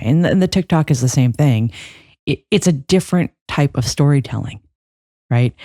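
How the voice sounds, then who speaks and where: A woman's voice: rumbling low, mellow, dry recording. A woman trial lawyer talking as a podcast guest on a close microphone, reflective, with frequent restarts and fillers. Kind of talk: conversational interview.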